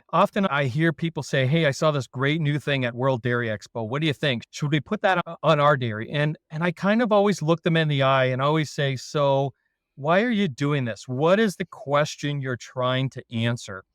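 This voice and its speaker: A man's voice: on the high side, moderate pace, dry recording, studio quality. A man with a Canadian accent, a dairy consultant leading a casual podcast chat with self-deprecating jokes. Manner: self-deprecating, jokey, relaxed.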